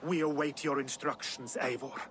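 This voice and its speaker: A man's voice: medium pitch, fairly even intonation, normal speed, a bit bright, slight background noise, lightly acted. Man playing an older, solemn Norse war leader. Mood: resolute. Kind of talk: dramatic video game acting.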